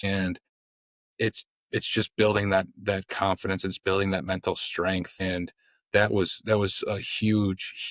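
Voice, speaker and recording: A man's voice: fairly low pitch, a bit bright, no echo. A young adult man with an American accent, a guest in an interview, talking earnestly and stammering over repeated words. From United States. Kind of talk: casual interview chat.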